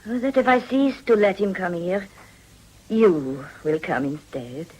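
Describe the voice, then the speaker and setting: An actress playing a worldly, discreet middle-aged brothel madam in a period radio drama, with a suave, unhurried, courteous manner. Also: female voice, medium pitch, very animated, a little mellow, poor audio. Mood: sly, admiring.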